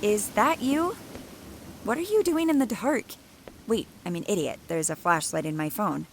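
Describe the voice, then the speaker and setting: A woman's voice: medium pitch, animated, moderate pace, a little hiss or hum, natural voice. A woman playing a skittish, sardonic young college student in an audio drama, casual and hesitant, often muttering to herself. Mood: startled. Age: young adult. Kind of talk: naturalistic audio drama acting.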